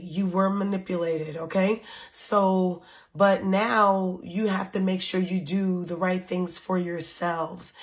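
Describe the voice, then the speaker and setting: A woman leading a spiritual coven, talking casually to her followers while she recovers from losing her voice, with many pauses and ums. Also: on the low side. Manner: slow, unhurried, with frequent ums. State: recovering from lost voice. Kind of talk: casual spiritual talk to camera.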